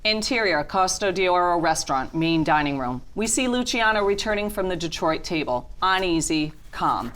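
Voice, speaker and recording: Low-voiced woman narrating a script. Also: lively intonation, moderate pace, hardly any pauses, fairly bright tone. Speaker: narrator reading stage directions. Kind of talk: scripted narration at a table read.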